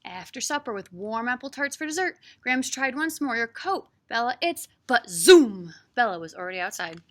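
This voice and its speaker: A woman hosting story time as a children's librarian, reading and chatting to young children in an animated, sing-song, encouraging way. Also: on the high side, normal speed. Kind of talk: expressive read-aloud and chat for young children.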